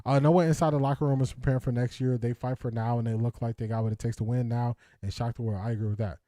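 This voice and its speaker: A man's voice: medium pitch, steady tone, quick pace, dark tone, no room sound. A man hosting a casual sports talk stream, reading viewer comments and answering with frequent restarts. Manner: hesitant, many restarts and fillers, laughs. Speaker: sports talk show host.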